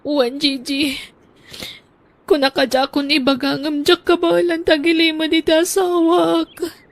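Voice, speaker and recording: A woman's voice: high-pitched, little pitch movement, a little slow, dry recording. Woman playing a worried, ailing young wife. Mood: desperate. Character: ailing young wife and mother, anxious and secretive. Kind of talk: audio drama acting.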